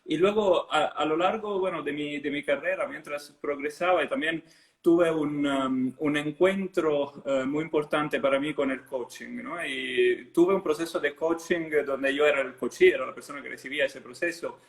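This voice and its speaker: A man's voice: a little high, moderate pace. A middle-aged man from southern Italy with an Italian accent, telling his story conversationally as a guest, with hesitant phrasing and frequent checking tags. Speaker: interview guest, author. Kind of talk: conversational personal account.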